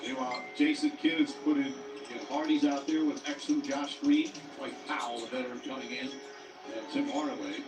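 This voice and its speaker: A man calling a live basketball game as play-by-play commentator, jumping up in pitch on big plays. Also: normal speed. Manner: animated, with sudden jumps up in pitch on big plays. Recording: broadcast audio played in an echoey room, mic far off, music over it. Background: music playing loud.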